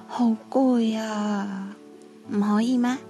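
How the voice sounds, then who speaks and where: An actress plays a possessive, clinging young ghost girl in a Hong Kong Cantonese radio play. She speaks very slowly, with clear music behind her. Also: fairly high pitch. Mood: coy, pouting. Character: clingy schoolgirl turned eerie, possessive mother ghost. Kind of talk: radio drama acting.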